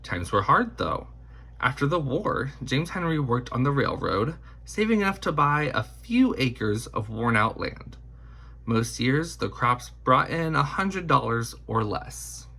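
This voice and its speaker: Husky, bright-voiced man reading a storybook aloud. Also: mid-range voice, very animated, a little slow. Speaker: picture book reader. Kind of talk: scripted storybook read-aloud.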